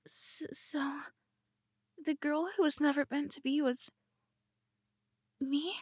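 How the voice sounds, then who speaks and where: A woman voicing a flustered tsundere tomboy princess in an ASMR roleplay, stammering and slipping from soft whispers into indignant protests. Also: high-pitched, fairly even intonation, slow pace, no room sound, studio quality. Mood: tender.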